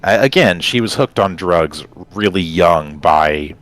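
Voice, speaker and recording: A man's voice: sits low, animated, unhurried, a bit bright. A man co-hosting a podcast in casual conversation, explaining things in a rambling, digressive way. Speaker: podcast co-host.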